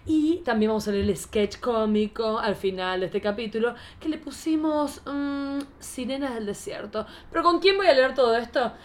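A woman with a fairly high voice and an Argentine accent hosts a casual podcast. She talks in a theatrical, exclamatory drag queen way, keeping the chat playful. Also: expressive, normal speed, hardly any pauses. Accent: Argentine Spanish.

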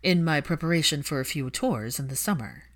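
A woman's voice: sits low, lively intonation, brisk. A woman voicing a composed young aristocratic man in an audiobook story, with a dry, understated, guarded manner.

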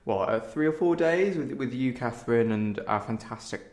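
Young male director as interview guest, medium pitch, wry and self-deprecating, chatting in an echoey room. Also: expressive, normal speed, darkish tone. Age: young adult. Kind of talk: casual interview chat.

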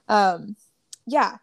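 Young woman with gravelly fry, podcasting. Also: medium pitch, no echo. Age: young adult. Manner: joking, self-deprecating, talks in loose restarts. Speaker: podcast co-host. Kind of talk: casual faith podcast chat. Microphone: remote call with headphones.

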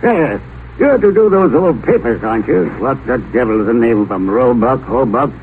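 An actor playing a brash, blustering, blunt and boastful American oil tycoon in a 1950s radio drama. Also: male voice, mid-range voice, expressive, normal speed, close to an everyday voice. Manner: blunt, boastful, anti-intellectual. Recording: narrow-band, noisy vintage radio broadcast.

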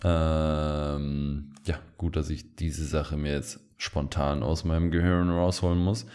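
Ein Mann als Co-Host eines Podcasts, der locker und scherzend plaudert, mit vielen Füllwörtern. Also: male voice, very deep voice, flat delivery, slow, a little mellow, clean tone. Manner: chatty, joking, many fillers. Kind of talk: casual chat.

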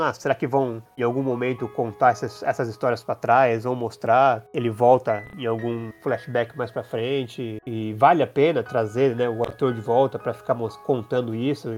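A man, a podcast host talking casually and thinking aloud with many restarts. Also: mid-range voice. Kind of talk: casual chat.

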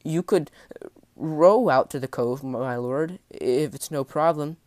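A man's voice: a little high, huge pitch swings, slow, dry recording, voice pushed up, exaggerated voice. A man voicing a frightened, servile sailor in a story, stammering and hesitant as he pleads. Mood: nervous, pleading. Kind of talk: audiobook character voice.

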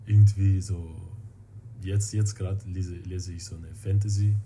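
A man's voice: deep voice, steady tone, background noise. A man with a Russian accent and Russian as his native language, chatting casually and searching for words as a guest. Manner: halting, searching for words in non-native German. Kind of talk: casual interview chat.

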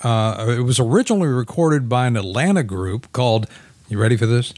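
Man, American accent, medium pitch, dry close recording, agreeable, casual music-trivia chat, radio co-host. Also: animated, a little fast, darkish tone, dry recording.

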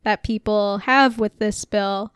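A woman's voice: medium pitch, fairly even intonation, slow, a bit bright, smooth, no echo, clean studio sound. Wry, laughing woman podcaster. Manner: explains at length, laughs often, wry sarcasm. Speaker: podcast co-host. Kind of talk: casual political chat.

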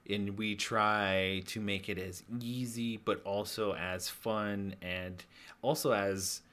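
A man in his thirties with a deep voice, co-hosting a casual podcast chat in a laid-back, chatty way and laughing often. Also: fairly even intonation, slow, pushed and bright. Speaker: podcast co-host.

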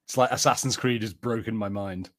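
A man's voice: on the low side, fairly even intonation, a little fast. A man co-hosting a podcast, chatting casually in a hesitant, rambling way. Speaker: podcast co-host. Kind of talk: casual chat, reading out viewer comments.

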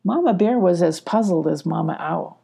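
Mellow, husky woman reading a story. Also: on the low side, animated, normal speed. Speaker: storybook narrator. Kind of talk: scripted children's story narration.

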